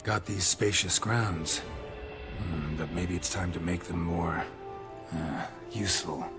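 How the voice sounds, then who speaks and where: Fantasy game monster hunter: low register, terse, practical, gruff understatement over music. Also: male voice, steady tone, slow. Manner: terse, clipped, understated phrasing. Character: laconic, gruff male monster-hunter, practical estate owner. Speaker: voice actor. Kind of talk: video game cutscene acting. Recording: music clearly behind the voice.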